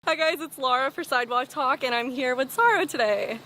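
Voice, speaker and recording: A young woman from the Los Angeles area hosting a casual interview, asking questions and reacting with quick exclamations. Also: high voice, sharp tone, soft background music. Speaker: interviewer host. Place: outdoors on a sidewalk.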